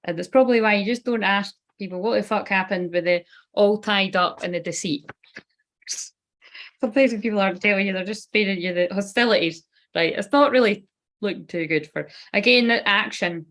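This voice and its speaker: A woman's voice: mid-range voice, fairly bright tone. A woman giving a casual tarot card reading. She rambles loosely and swears offhandedly.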